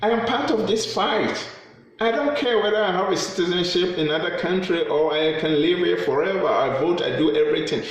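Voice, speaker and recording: A man's voice: high voice, brisk. Fervent Ghanaian man in an echoey room. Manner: fervent, exhorting, repeats phrases for emphasis. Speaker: anti-corruption campaigner. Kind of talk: impassioned appeal to camera.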